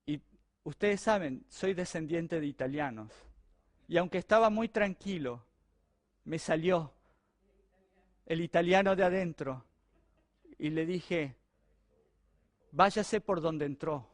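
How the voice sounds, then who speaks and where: A middle-aged Argentine man, a pastor, telling a personal testimony during a sermon in a lively, conversational way. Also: a little high, unhurried, long pauses, dry recording. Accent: Argentine Spanish. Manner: animated, conversational storytelling to a congregation.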